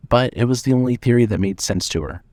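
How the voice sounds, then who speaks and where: A man's voice: mid-range voice, quick pace, darkish tone, no echo. A man narrating scripted suspense fiction as the storyteller of an audio drama.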